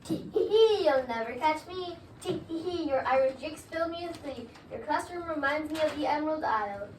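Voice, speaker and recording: A woman's voice: high voice, expressive, moderate pace. A woman playing a gleeful, mischievous little leprechaun in a readers' theater story, giggling and teasing in rhyme. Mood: gleeful, taunting. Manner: giggling, sing-song rhymes. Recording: roomy, distant mic, noisy background.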